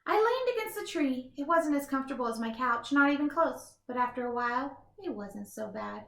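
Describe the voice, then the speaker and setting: A woman reading a children's picture book aloud in an expressive, animated way with dramatic pauses. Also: high voice, gravelly, reverberant. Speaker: storybook reader.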